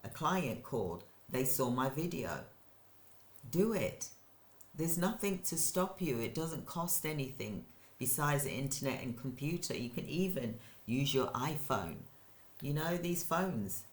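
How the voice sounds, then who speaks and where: An older woman, hosting her own channel and giving an energetic, rambling motivational talk to camera, repeating points for emphasis. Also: a bass voice, measured pace. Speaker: channel host, business owner. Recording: roomy echo.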